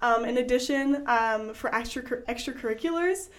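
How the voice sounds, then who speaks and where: Teen girl, higher pitch, upbeat, fluent, frequent fillers. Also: normal speed. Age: teens. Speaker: graduating student guest. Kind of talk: casual interview answers.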